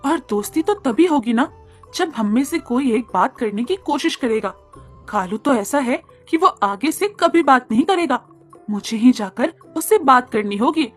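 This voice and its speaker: A woman voicing a gentle, friendly young cow in a cartoon story, with a pleading, coaxing manner. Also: high-pitched, animated, moderate pace, soft background music. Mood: thoughtful, hopeful.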